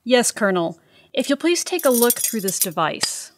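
A woman's voice: on the high side, very animated, moderate pace, a little hiss or hum. A woman playing a composed, earnest intelligence agent in an audio drama. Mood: serious, matter-of-fact.

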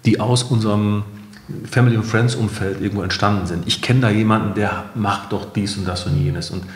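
Low-voiced middle-aged man in a roomy space. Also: fairly even intonation, a little fast. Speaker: guest, company co-founder. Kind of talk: conversational interview answers. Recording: roomy echo.

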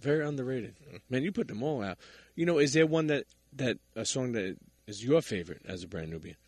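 A man's voice: mid-range voice, lively intonation, brisk, no echo. A man hosting a podcast, interviewing in a casual, slangy way. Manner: slangy, informal.

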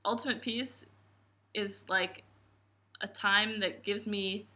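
A woman in her twenties from the New Jersey and New York area, a camp coach, speaking expressively in an interview. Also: slow pace. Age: 20s. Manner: expressive, acts out quotes with big pitch jumps. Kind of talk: reflective interview answers. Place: indoor room with posters.

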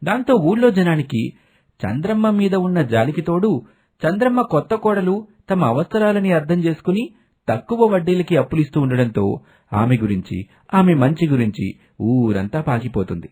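A man's voice: fairly high pitch, very animated, normal speed, dark tone, husky. A man narrating a scripted moral story as a storyteller.

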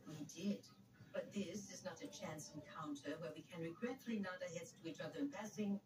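A woman's voice: lowish voice, brisk, roomy sound, noisy background, lightly acted. An actress playing a curt, formal, indignant middle-aged ex-girlfriend in a soap opera. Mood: curt, cold. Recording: TV played into distant mic, loud music.

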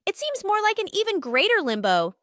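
Woman voicing a timid young clown girl, very high pitch, lively swoops, bubbly, faint music. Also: fairly quick, dry recording, studio quality, exaggerated voice. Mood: hopeful. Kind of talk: fan comic dub.

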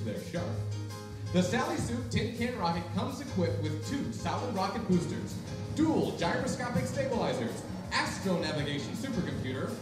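A young man delivering mock old-time radio announcements and ads in a theatrical, salesman-like way. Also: sits high. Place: small library room, live performance. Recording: very echoey room, distant mic, music.

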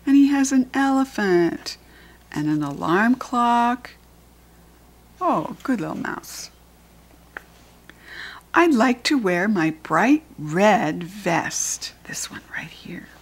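A woman's voice: mid-range voice, lively intonation, slow, long pauses, slightly rough. An older woman with an American accent reads a picture book aloud to young children, teaching as she goes with simple questions and pointing things out. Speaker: children's read-aloud host and reading teacher.

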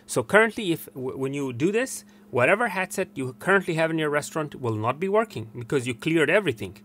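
An older man presenting a step-by-step technical tutorial in a halting, explanatory way. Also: fairly high pitch. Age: older, around 60. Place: small cluttered indoor workroom. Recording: clear background room noise. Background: steady room noise.

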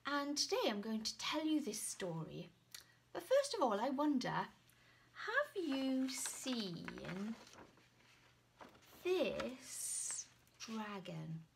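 An unhurried woman in her forties presents a picture book to children. Her delivery is expressive and very varied in pitch, often hushed, with questions put to young listeners. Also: gravelly, slight background noise. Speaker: children's author and storyteller. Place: small room at home.

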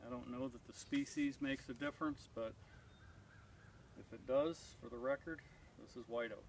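A man in his thirties explaining step by step as he works outdoors in spring woods, talking in a plain, methodical way with frequent pauses. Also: mid-range voice, darkish tone, slight background noise. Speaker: beekeeper vlogger. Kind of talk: casual demonstration. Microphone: far camera on a tripod. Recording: distant outdoor mic with clear background ambience.

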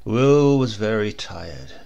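A man's voice: on the low side, animated, slow pace, vocal fry, mellow, lo-fi sound. A man narrating a horror story as a dramatic audiobook reading.

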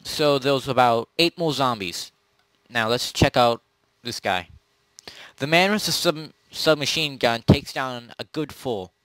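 A man running a tabletop zombie role-play as game master, narrating in a halting, improvised way. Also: mid-range voice, a bit bright, no echo, poor audio. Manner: halting, pieces scenes together as he goes.

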